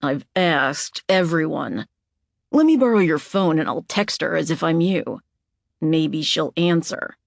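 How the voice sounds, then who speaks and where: A woman narrator playing an angry, menacing man who speaks in forceful, profane demands. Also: fairly high pitch, animated, unhurried, gravelly, no echo, clean studio sound. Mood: angry, demanding.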